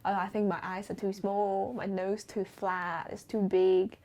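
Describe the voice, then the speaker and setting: A woman's voice: mid-range voice, level tone, unhurried, darkish tone. A young woman from China answering interview questions frankly, with frequent "like" fillers. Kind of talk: documentary interview.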